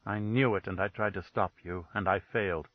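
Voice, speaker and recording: A man reading the lines of a grieving, bitter woman in a dead, flat tone, pitched lower than his narration. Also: normal speed, no room sound, phone-quality sound, lightly acted. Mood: cold accusing.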